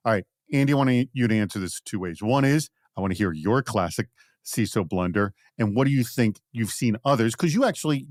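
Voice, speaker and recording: A man's voice: mid-range voice, darkish tone, dry recording, clean studio sound. A man hosting a podcast, interviewing guests conversationally with probing, lightly teasing questions. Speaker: podcast host.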